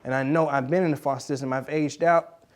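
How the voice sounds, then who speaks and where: A man's voice: mid-range voice, steady tone, brisk. A man of twenty-one speaking earnestly about his own experience in an interview. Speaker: youth who aged out of foster care.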